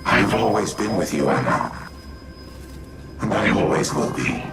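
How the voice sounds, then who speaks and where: An actor playing a solemn, self-sacrificing military artificial intelligence with a gravelly voice and formal, resolute, measured speech in a game cutscene. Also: male voice, sits low, expressive. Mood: tender resolve. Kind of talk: scripted game cutscene acting. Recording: loud music behind voice.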